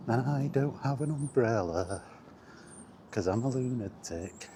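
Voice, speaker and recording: A man's voice: medium pitch, animated, a little slow, dark tone. A man chatting casually to camera while out walking, in a rambling, self-deprecating way. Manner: rambling, self-deprecating, mild exasperated swearing. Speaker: vlogger. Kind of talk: casual walking vlog chat. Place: outdoors on a path in windy weather. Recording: outdoor camera audio with background noise. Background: outdoor ambience, wind.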